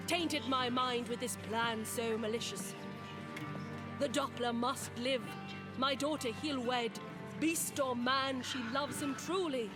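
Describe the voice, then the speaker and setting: A commanding stage-play queen. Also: sits high. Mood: decisive. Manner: commanding, stately. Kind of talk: rhyming stage-play verse in a video game. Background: game music.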